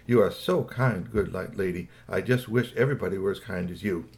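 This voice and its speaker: A man's voice: sits low, fairly quick, darkish tone, voice pushed down, lightly acted. A man voicing a polite, wide-eyed alien crown prince in a children's story. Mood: polite, grateful.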